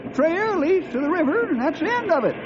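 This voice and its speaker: Old western sidekick: high, swooping cracked voice, sputtering folksy dialect, radio drama. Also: male voice, big pitch swings, brisk. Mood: baffled. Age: older. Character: excitable folksy old cowhand sidekick, male. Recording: dull, noisy old radio recording.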